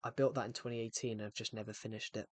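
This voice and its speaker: A man's voice: lowish voice, little pitch movement, fast talker, no echo. A man chatting casually on a game livestream, rambling and often repeating and restarting his phrases. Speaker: gaming streamer. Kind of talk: casual livestream chat while playing.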